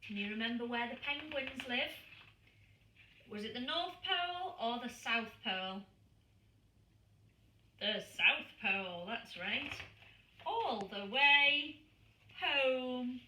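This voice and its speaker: A woman primary school teacher reading a picture book aloud to young children, expressive and asking them questions. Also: on the high side, slow pace, long pauses, slight background noise. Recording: echoey room, distant mic.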